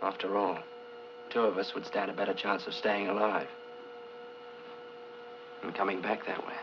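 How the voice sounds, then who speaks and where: A man's voice: deep voice, little pitch movement, moderate pace, lightly acted. An actor playing an earnest, determined male scientist in a 1950s science fiction film. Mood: earnest, probing. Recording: muffled old film soundtrack with hiss.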